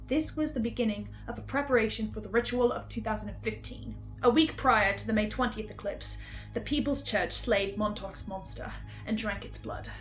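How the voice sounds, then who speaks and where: A woman hosting a recap, delivering a dramatic investigative monologue in a pensive way with deliberate pauses. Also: fairly high pitch, a bit bright, rough recording. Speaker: host of a fan recap series. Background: music.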